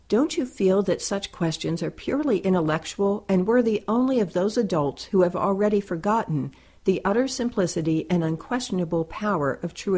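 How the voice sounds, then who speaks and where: A woman reading a first-person essay aloud in a measured, deliberate and reflective way. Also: mid-range voice, a little mellow. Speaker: reader.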